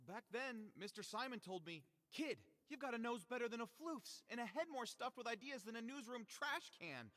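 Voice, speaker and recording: An actor playing an excitable, ambitious young male reporter in a video game, theatrical and earnest, with a self-important streak. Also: very high-pitched, normal speed, hardly any pauses, exaggerated voice. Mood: earnest, proud. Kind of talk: video game character dialogue.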